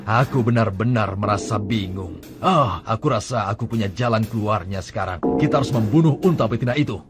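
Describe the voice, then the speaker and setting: A scheming cartoon chieftain, voiced by a man in a middle register, sly and calculating as he plots. His words are slow and wheedling, with clear music underneath. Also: lowish voice, natural voice. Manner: sly, plotting, ends with an evil laugh. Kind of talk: dubbed cartoon acting.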